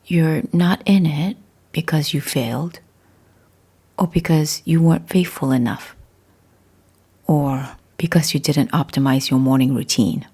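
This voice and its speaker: A woman leading a scripted guided meditation in a soft, breathy, husky voice, speaking deliberately in short phrases with pauses. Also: low voice. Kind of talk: scripted guided meditation, gentle spiritual talk.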